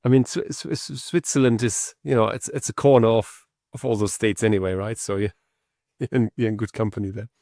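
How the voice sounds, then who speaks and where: A male podcast host asking questions in a casual interview, halting and repeating words as he goes. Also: fairly low pitch, fairly even intonation, dark tone, no echo, clean studio sound.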